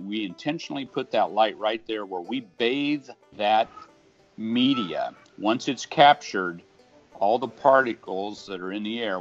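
A middle-aged man in an easygoing, conversational voice-over, explaining an HVAC installation with homespun comparisons. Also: fairly low pitch. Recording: dull lo-fi audio with faint guitar music.

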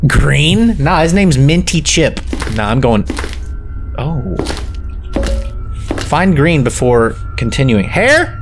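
A man's voice: a little high, sing-song, slow, pauses often. A young man playing a horror game and reacting with jokey, exclamatory commentary into a headset mic. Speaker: gaming streamer. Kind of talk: let's play commentary. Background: game music.

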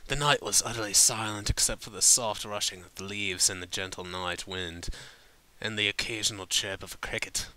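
A man reading fan fiction as an expressive, dramatic storybook narrator. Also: fairly low pitch, normal speed, rough recording.

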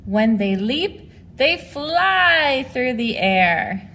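A woman dance teacher speaks in a high, very bright voice at an unhurried pace. She explains things to children in an animated, encouraging way, pronouncing each point clearly. The recording picks up some noise in a studio. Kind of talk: instructional video lesson for children. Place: large mirrored dance studio indoors.

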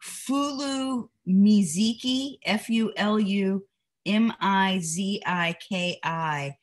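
A woman's voice: mid-range voice, drawn-out words. A woman chatting casually on a video call, sharing a tip with enthusiasm.

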